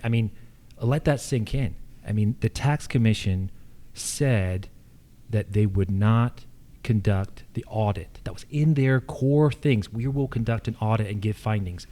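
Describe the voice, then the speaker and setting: A man's voice: on the low side, mellow. An American man giving unscripted answers as a candidate at a public forum. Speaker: political candidate, incumbent county official.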